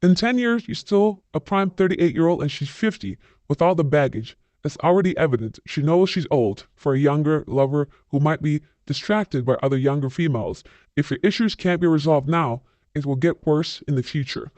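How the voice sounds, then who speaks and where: A man's voice: on the high side, normal speed, darkish tone, no room sound. A man hosting a channel, reading online comments aloud and adding blunt, scornful opinions of his own.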